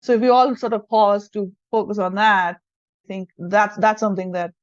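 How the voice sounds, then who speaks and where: A woman from India speaks, her Hindi. She talks earnestly and thoughtfully in an interview, reworking her phrasing as she goes. Her voice sits at a middle pitch and sounds dull and dry, as if recorded lo-fi. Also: steady tone, normal speed, dry recording. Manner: reflective, earnest, rephrasing as she thinks. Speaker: self-leadership coach, guest. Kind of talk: conversational interview.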